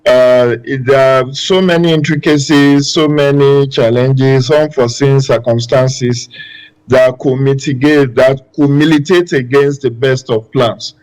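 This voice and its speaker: A man speaking as a guest analyst on a political panel, measured and full of pauses. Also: medium pitch, steady tone, a little mellow, soft background music, rough recording. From Nigeria. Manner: measured, many filler pauses. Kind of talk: panel discussion.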